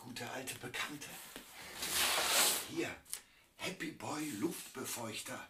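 An actor playing a drily joking companion to amateur investigators in a German radio drama. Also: male voice, medium pitch, slow pace, natural voice. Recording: slightly distant, faintly noisy radio recording.

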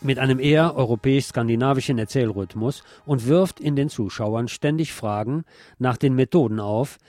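A man's voice: medium pitch, warm tone, no room sound. A man delivering a scripted film review as a critic recommending a movie to listeners.

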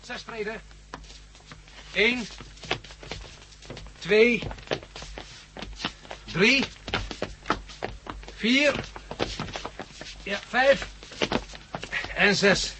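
A man's voice: high voice, drawn-out words, pauses often. An actor playing a weary, practical captive man in a 1960s radio drama, speaking dryly. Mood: brisk, guiding. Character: weary captive sighted man guiding the blind. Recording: noisy old radio recording.

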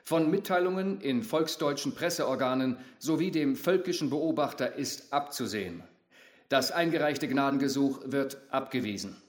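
An actor playing a stern Nazi official in a radio drama, dictating in a cold, clipped, bureaucratic manner. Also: male voice, mid-range voice, lightly acted.

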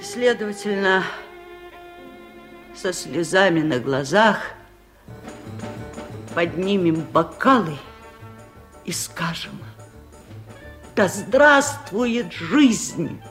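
An actress playing a wise, kind and slightly stubborn old village aunt in a 1970s radio drama, speaking slowly in a low voice. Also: female voice, expressive, fairly bright tone, faint music behind, heavily acted. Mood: solemn. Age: older.

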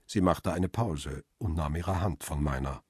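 An actor playing a wry, stubborn photographer who narrates and speaks in a crime radio drama, with a dry, confrontational manner and a husky, rough voice. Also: male voice, rumbling low, a little slow, no echo, exaggerated voice. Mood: reflective.

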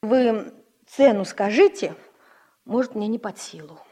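An actress playing a kind, hesitant village housewife in a radio play, speaking in a folksy way. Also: female voice, a little high, unhurried, mellow. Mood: hesitant, protesting. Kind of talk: Soviet radio play acting.

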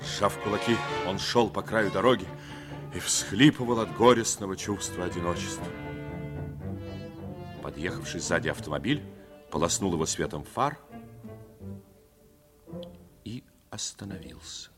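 An actor narrating a 1950s radio drama in a measured, literary and emotive manner. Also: male voice, sits low. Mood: solemn, dramatic. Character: solemn male storyteller of a radio drama. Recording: vintage radio drama over music.

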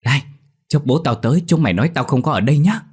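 A scheming little village boy speaks up boldly, as a male storyteller puts him on. His low voice lifts a few steps and grows louder for the child. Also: expressive, normal speed, husky. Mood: urgent whisper.

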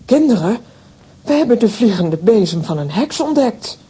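A woman acting an excitable, exclamatory female character in a children's audio drama. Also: normal speed, breathy edge. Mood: excited. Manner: theatrical, exclamatory. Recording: faint noise and low rumble.

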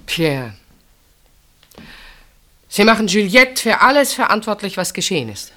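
A woman's voice: mid-range voice, sing-song, unhurried, sharp tone. An actress playing a guarded sister-in-law in a German radio play, defending her sister. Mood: quietly reproachful.

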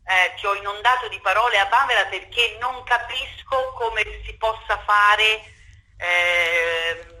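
A woman speaks as an interview guest over a phone line. Her voice is strikingly bright and forceful, at an average female pitch. She talks freely and emphatically, rambling and checking in with short question tags. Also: a little slow. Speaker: theatre director, interview guest.

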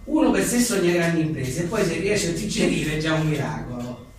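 A man's voice: on the high side, expressive, a little fast. A man, talking colloquially and irreverently as he presents his writing live. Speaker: author presenting his book. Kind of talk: reading short jokes and chatting informally at a live book presentation. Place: live event venue with an audience. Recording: echoey room, distant mic, faint noise.

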